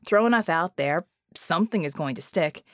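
A woman's voice: sits low, animated, moderate pace, dry recording, studio quality. A woman voicing a confident, roguish male genie in an audiobook, drawling as he coaches. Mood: coaching, assured.

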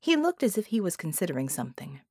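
A woman's voice: fairly low pitch, very animated, quick pace, darkish tone, slightly rough, dry recording. A woman reading scripted fiction narration as an audiobook narrator, recorded close.

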